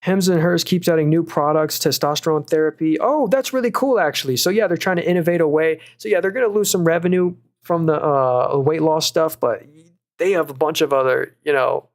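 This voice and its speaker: A young man hosting a live stream, reading viewers' comments and talking through stock picks in a casual, upbeat way with lots of fillers. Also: fairly high pitch. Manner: casual, upbeat, quick asides, frequent fillers and restarts. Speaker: stock market livestream host.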